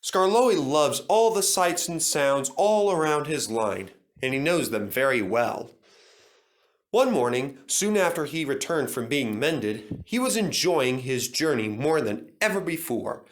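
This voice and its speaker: A man reading and adapting a children's engine story, with animated delivery. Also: on the high side, a little slow. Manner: animated, expressive.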